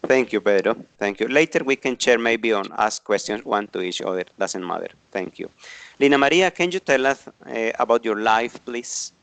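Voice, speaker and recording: A Colombian man in his forties, a native Spanish speaker, introducing himself to his English class. His low voice is smooth and resonant. He speaks in a measured, careful way with pauses, and the recording is close and dry. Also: animated, fairly bright tone, dry recording. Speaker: English teacher.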